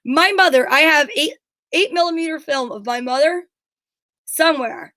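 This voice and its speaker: A woman's voice: high-pitched, moderate pace, pushed and bright, no echo. A middle-aged woman co-hosting a talk show, chatting casually in a blunt, candid, rambling storytelling way. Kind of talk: casual chat.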